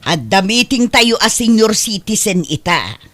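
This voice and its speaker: A woman's voice: mid-range voice, big pitch swings, unhurried, fairly bright tone, slight background noise. Nagging, self-righteous older woman, acted, swooping voice. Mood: pushy. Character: meddling, self-righteous senior woman preaching humility, quick to scold. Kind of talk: comedic radio-style drama acting.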